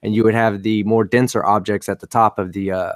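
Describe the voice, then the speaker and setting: A man's voice: fairly low pitch, flat delivery, a little fast, dry recording, studio quality. A man reasoning aloud hesitantly in a casual online voice chat. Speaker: call guest.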